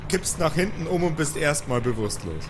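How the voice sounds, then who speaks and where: A man's voice: a little high, sing-song, normal speed, background noise. A man narrating an improvised tabletop game as game master, in a playful, colloquial way. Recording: music behind the voice. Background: music.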